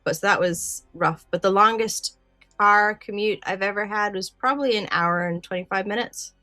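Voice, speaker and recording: A woman's voice: medium pitch, moderate pace, sharp tone, no echo, soft background music. A woman chatting casually as a podcast co-host. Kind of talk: casual chat.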